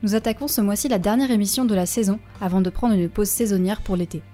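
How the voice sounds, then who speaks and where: Mellow-voiced woman hosting a radio show. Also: mid-range voice, quick pace, soft background music. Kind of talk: scripted radio chronicle reading. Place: radio studio.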